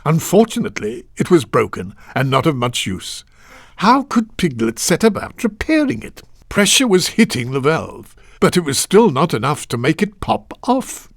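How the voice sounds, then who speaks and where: A lilting, curious storybook narrator. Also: male voice, on the high side, husky, dry recording, clean studio sound, heavily acted. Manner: measured, articulate, gently musing with rhetorical questions. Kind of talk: storybook narration for a children's video game.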